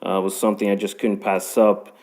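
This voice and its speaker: A young man from Canada with a fairly low voice talks in an online audio chat room. He rambles easily, filling pauses with ums as he tells how he got into his work. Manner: relaxed and rambling, many ums. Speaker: guest account manager. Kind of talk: casual self-introduction on an audio chat room.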